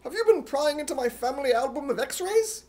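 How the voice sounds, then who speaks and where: A man voicing an excitable, gullible, indignant comic hero in a loud, exclamatory, put-on British accent, in 1950s radio comedy style. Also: extremely high pitch, a little fast. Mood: indignant, panicked. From United States.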